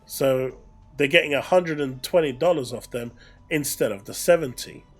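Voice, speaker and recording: A man with a mid-pitched voice works as a gaming news commentator, talking over faint background music. He moves between reading aloud and casual explanation, restating his points for emphasis. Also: moderate pace. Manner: explanatory, repeats phrases for emphasis.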